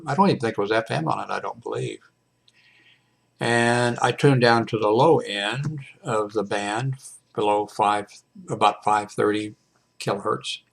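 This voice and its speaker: An older American man talking casually to his viewers in a rambling, halting monologue that often trails off and wanders between points. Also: fairly low pitch, gravelly. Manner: digressive, halting, often trailing off mid-sentence. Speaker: hobbyist video blogger.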